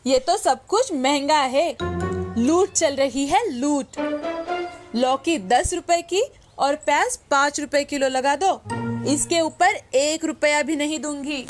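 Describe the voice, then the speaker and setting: A woman's voice: high-pitched, takes it slowly, over music. A woman playing a stingy, quarrelsome old village woman in a cartoon moral story, scolding and suspicious. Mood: haggling, indignant. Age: older.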